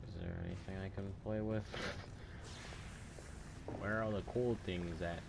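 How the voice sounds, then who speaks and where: A man giving casual let's play commentary, reacting informally out loud as he plays. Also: deep voice, steady tone, normal speed, creaky, background noise. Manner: informal, reacting aloud to the game. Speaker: gaming video host. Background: video game ambience.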